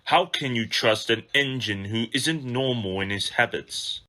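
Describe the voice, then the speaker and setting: Man as a grumpy, sharp-voiced steam engine. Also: mid-range voice, a little slow. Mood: suspicious. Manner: dark, scornful grumbling.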